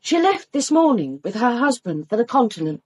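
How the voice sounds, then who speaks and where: A woman's voice: on the high side, sing-song, normal speed, darkish tone, slightly rough. An actress in a radio drama playing a knowing, forthright female household servant. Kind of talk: BBC radio drama acting.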